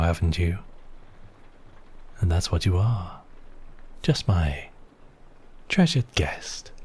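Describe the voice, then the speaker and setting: Vampire count played by a man for ASMR roleplay: very low, unhurried, seductive and possessive, breathy, teasing. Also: a little mellow, heavily acted. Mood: possessive, coaxing. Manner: slow, teasing, intimate, with whispered phrases. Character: seductive, possessive male vampire count toying with his captive.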